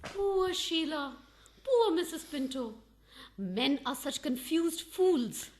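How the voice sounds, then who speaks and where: A woman's voice: high voice, animated, slow pace. A woman playing a prim, easily scandalised housewife in a farcical radio play, pointed and theatrical. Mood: pitying.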